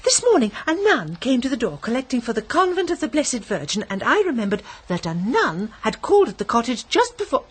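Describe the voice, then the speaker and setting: An actress playing a timid, nervous spinster companion with a British accent in a radio mystery drama. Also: female voice, fairly high pitch, big pitch swings, fairly quick, hardly any pauses, husky. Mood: frightened, fretful.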